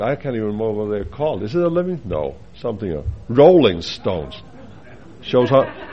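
A man's voice: fairly high pitch, dull audio. A man from the Faroe Islands with a Faroese accent, preaching a sermon earnestly, with asides and rhetorical questions to the congregation.

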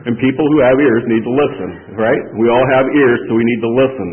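Folksy preacher in a big hall. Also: male voice, medium pitch. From Kansas. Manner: folksy, plain-spoken, slows down to read scripture aloud. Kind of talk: conference sermon. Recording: tinny, far-off hall recording.

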